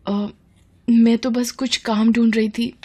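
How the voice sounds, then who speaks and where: A woman's voice: fairly high pitch, flat delivery, slow pace, warm tone, voice pushed up. A woman voicing a humble, anxious poor mother in a cartoon story, speaking in a hesitant, pleading, deferential way. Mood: nervous, humble. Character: humble, anxious, grateful poor widowed mother seeking work. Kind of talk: Hindi cartoon story dialogue.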